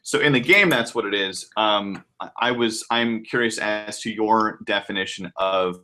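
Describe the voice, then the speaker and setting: Male interview host, lowish but bright voice, informal and rambling, often restarting his questions. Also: a little slow. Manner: hesitant, rambling, restarts mid-sentence. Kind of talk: casual interview.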